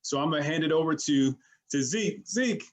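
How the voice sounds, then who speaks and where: A man's voice: fairly high pitch, animated, normal speed. A man hosting a real estate show, introducing a guest casually, with loose phrasing and repeated words.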